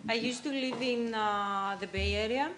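A woman from Greece, a professor speaking haltingly on a panel with many fillers. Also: fairly high pitch, a bit bright, a little hiss or hum. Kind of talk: hesitant personal remarks. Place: lecture room panel table.

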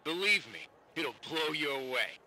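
Sharp radio-filtered informant. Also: male voice, a little high, animated, normal speed, heavily acted, poor audio. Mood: urgent. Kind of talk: video game cutscene acting.

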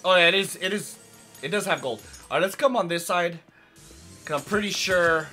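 A young man with a hoarse, raspy voice chatting casually through a let's play, rambling with lots of fillers. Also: sits high, moderate pace, bright, forceful tone, faint music behind. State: hoarse from yelling. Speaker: gaming channel host.

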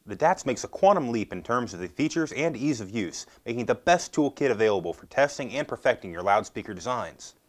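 A man's voice: mid-range voice, animated, a little fast, hardly any pauses. A man in his thirties giving scripted, methodical step-by-step instructions as a product demonstrator. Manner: methodical, explanatory.

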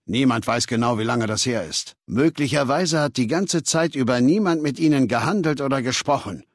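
An actor playing a cold, arrogant warlord dictator in a video game, speaking with condescending, menacing authority. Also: male voice, medium pitch, moderate pace, no room sound, natural voice. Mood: measured, authoritative. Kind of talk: video game dialogue acting. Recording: dry studio recording.

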